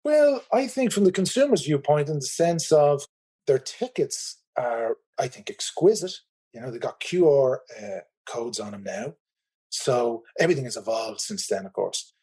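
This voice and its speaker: An Irish man with a husky, breathy edge to his voice chats about his business. He rambles with enthusiasm, circling back with fillers and restarts. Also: mid-range voice, expressive, moderate pace, mellow. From Ireland. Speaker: tour operator guest. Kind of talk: casual video call interview.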